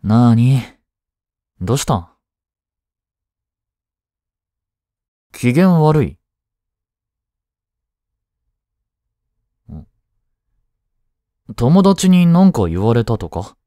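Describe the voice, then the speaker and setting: Teen schoolboy boyfriend, played by a young man: teasing yet tender, unhurried, lively intonation, intimate voice drama. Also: medium pitch, no room sound, studio quality. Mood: casual concern. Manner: casual, soft, hesitant pauses. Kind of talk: Japanese boyfriend voice drama, intimate one-sided dialogue.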